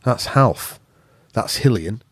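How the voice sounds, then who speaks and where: A man's voice: fairly low pitch, lively intonation, slow, a bit bright, slightly rough, no room sound, studio quality. A man from Yorkshire with a regional accent, chatting casually as an interview guest and telling anecdotes in a blunt, sweary, earthy way. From Yorkshire, England. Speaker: interview guest, veteran jiu-jitsu practitioner.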